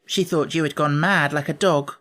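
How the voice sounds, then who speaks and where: A man's voice: on the high side, steady tone, normal speed, sharp tone. A man voicing a curious, forthright young girl in a storybook, with a direct, earnest manner.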